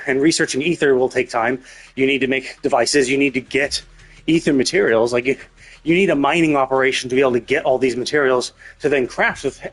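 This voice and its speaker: A man's voice: medium pitch, slightly rough. A man streaming a game with casual, rambling commentary and some swearing, explaining things as he thinks aloud. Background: game music.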